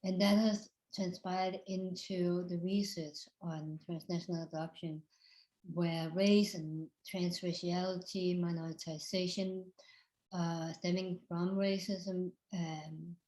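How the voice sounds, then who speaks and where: Woman moderator, kinda low and mellow, lots of ums, echoey room. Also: fairly even intonation, takes it slowly. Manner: frequent ums and uhs. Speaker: panel moderator. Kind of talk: hesitant panel introduction.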